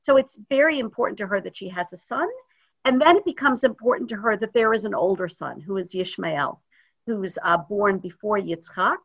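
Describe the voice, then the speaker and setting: Woman rabbi, thoughtful unscripted teaching, middle-pitched voice, dull video-call audio. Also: expressive, moderate pace, vocal fry, a bit bright, breathy edge. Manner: thoughtful, searching for words. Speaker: rabbi, guest speaker. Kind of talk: informal teaching talk.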